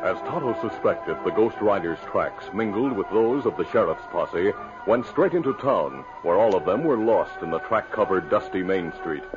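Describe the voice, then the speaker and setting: A man's voice: sits low, expressive, moderate pace. A man narrating a scripted 1950s radio western as its storyteller. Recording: muffled old radio broadcast. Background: music.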